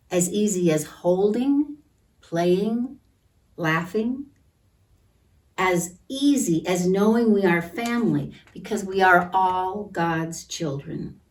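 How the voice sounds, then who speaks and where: A woman reading a picture book aloud to children in a gentle, deliberate manner, pausing to comment and ask questions. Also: medium pitch. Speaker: children's storytime reader at a church. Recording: distant microphone.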